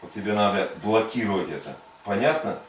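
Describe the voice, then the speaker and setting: A man's voice: fairly low pitch, lively intonation, brisk. Commanding male faith healer in an echoey room. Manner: commanding, insistent, repetitive. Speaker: faith healing preacher. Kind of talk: prayer commands and coaching, phrase by phrase. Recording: echoey room, distant mic, faint noise.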